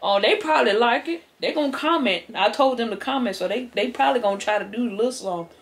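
A woman's voice: on the high side, quick pace, close to an everyday voice. A woman playing a sassy old American grandmother, stammering and repeating herself as she tells folksy tall tales on camera. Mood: defensive, sassy. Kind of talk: improvised comedic storytelling. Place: living room couch, indoors. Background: background music.

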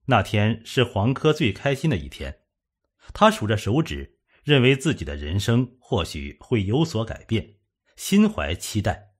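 Husky, low-voiced male audiobook narrator. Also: very animated, measured pace. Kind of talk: scripted suspense audiobook narration.

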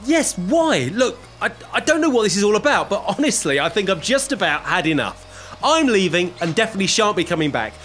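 A man's voice: high voice, very animated, a little fast, fairly bright tone, soft background music. A man playing a polite but exasperated married salon customer in a comic audio play, hesitant and stammering. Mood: outraged. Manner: hesitant, stammering, repeats words. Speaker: actor in an amateur audio play. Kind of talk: comedic radio-play acting.

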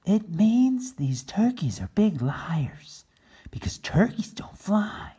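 A man voices an earnest young Pilgrim son from a storybook. He is blunt and emphatic, with a high, husky voice and slow phrasing. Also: sing-song, warm tone, heavily acted. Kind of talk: storybook character voice.

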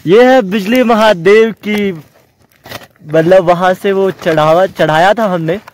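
A young man talks eagerly to his viewers as he camps on an open mountain meadow. His voice sits high for a man, and he calls out to the people around him. It is picked up by an action camera out in the open. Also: takes it slowly, a little hiss or hum. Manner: excited, chatty, calls out to viewers. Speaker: travel vlogger. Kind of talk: casual camping vlog. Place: outdoors on a mountain meadow by a campfire.

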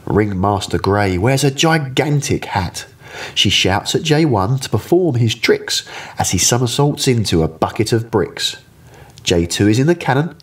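A man's voice: lowish voice, breathy edge. A man in his thirties with an English accent, reading a rhyming picture book expressively to young children. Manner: expressive, addressing young listeners directly. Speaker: children's storyteller.

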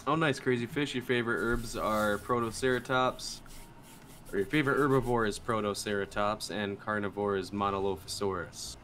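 A man on a gaming stream reading chat aloud and joking with his teammates, playful and excitable. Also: on the low side, normal speed, slight background noise. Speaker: fellow streamer.

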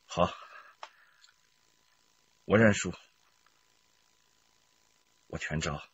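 A man's voice: sits low, drawn-out words, fairly bright tone, slightly rough, no room sound, lo-fi sound, full character voice. A man playing a cunning, reckless gambler and murder suspect, brazen and sneering, in a radio crime drama. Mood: cynical confessing.

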